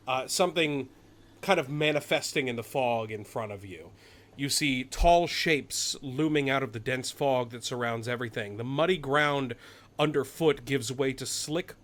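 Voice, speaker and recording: A man's voice: medium pitch, a bit bright. A man running a tabletop fantasy horror game as game master, moving between casual table talk and atmospheric description.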